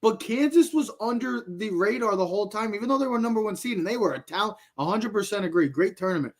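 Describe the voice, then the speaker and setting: A man with a high-pitched voice gives casual sports commentary as a podcast co-host. He builds his arguments in short, repeated phrases. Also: lively intonation, quick pace.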